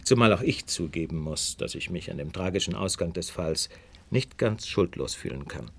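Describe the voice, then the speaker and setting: An actor playing a brilliant, superior detective in a German radio play, articulate and lecturing in a theatrical way. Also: male voice, low-pitched, expressive, moderate pace, creaky, a bit bright, exaggerated voice. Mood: explaining, grave. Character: brilliant, self-assured male detective, precise and superior.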